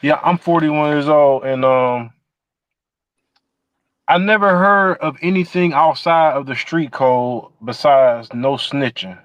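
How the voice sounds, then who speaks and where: A man's voice: on the high side, slow, a bit bright, no room sound, dull audio. A man in his forties hosting a casual podcast chat, rambling through questions with lots of fillers and restarts. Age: 40s. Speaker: podcast host.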